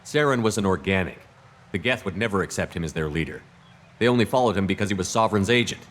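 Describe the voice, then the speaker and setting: A man's voice: low-pitched, expressive, quick pace, a bit bright. A man playing a stoic, determined space commander in a video game, serious and direct.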